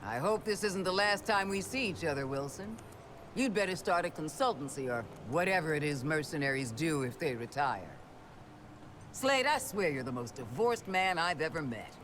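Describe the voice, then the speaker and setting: An actor playing an exasperated teammate in a video game, with a dry, needling manner. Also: male voice, fairly high pitch, lively intonation, normal speed, noisy background, lightly acted. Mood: wry, concerned. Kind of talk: video game character dialogue.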